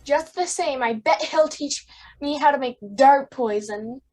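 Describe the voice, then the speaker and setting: A woman's voice: high voice, measured pace, darkish tone. A woman playing an eager, stubborn youngster pleading with a parent in a radio drama. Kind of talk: old-time radio drama acting.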